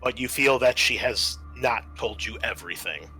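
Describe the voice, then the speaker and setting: Bright-voiced man running a tabletop game. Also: mid-range voice, moderate pace, husky, faint music behind, low-quality recording. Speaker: game master. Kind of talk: narrating and explaining a tabletop game.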